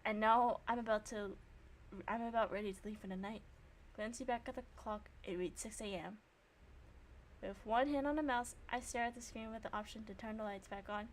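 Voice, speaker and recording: A woman's voice: on the high side, fairly even intonation, moderate pace. A young woman streamer giving casual game commentary and reading narration aloud, self-deprecating and free with swearing.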